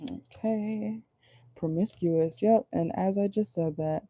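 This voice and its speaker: A woman with a low voice giving a casual tarot reading from home, chatty and rambling, often checking in with her viewers. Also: slow pace, dark tone, no echo. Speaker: tarot reader. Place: at home indoors at night.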